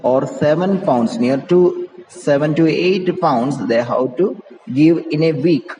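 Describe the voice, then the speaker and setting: A man's voice: a little high, takes it slowly, mellow. A man with an Indian English accent, teaching by reading a play aloud and explaining it point by point, often repeating and rephrasing. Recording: echoey reverberant room with background noise.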